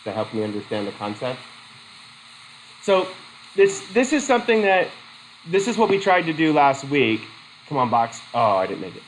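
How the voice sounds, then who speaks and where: A middle-aged man with a cold teaching a psychology methods class, halting and restarting as he questions students in a whiteboard classroom. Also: mid-range voice, big pitch swings, slight background noise, low-quality recording. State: has a cold. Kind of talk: classroom lecture.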